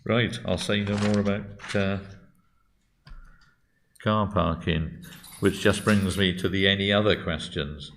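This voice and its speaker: A man's voice: low-pitched, steady tone, slow, long pauses, a bit bright, slight background noise. A man answering questions on church accounts at a congregational meeting, hesitant and full of qualifiers. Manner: hesitant, with many restarts and qualifiers. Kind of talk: meeting talk, thinking aloud.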